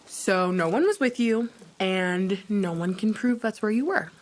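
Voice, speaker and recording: A woman's voice: mid-range voice, expressive, a little slow, lightly acted. Brazen madam female actor, mystery radio play, middle register, challenging, unbothered, dryly sardonic. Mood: accusing. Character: bold, cool madam, protective of her girls.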